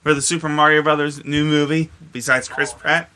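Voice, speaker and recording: Very bright, forceful man riffing on a podcast. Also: a little high, flat delivery, a little fast. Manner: emphatic, repeating phrases for effect. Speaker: podcast co-host. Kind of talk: casual, profane group chat.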